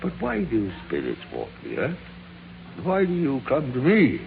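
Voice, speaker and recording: A man's voice: medium pitch, wildly swooping pitch, unhurried, vocal fry, full character voice. An actor playing a miserly, crotchety old businessman who stammers when rattled, in the style of 1940s radio drama. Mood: frightened, blustering. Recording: scratchy, narrow-band 1940s radio recording.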